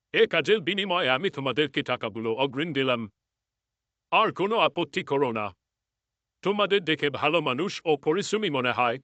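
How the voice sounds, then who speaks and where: A man voicing a kindly, pious old garden owner in a cartoon, speaking earnestly and with gentle insistence. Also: fairly high pitch, dry recording, voice pushed up.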